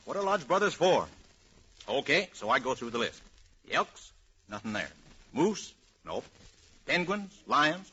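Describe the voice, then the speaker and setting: Blustering male wheeler-dealer, lively swinging pitch, husky edge, boastful, emphatic, old-time radio comedy, dull recording. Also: heavily acted. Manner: emphatic, rambling, self-important.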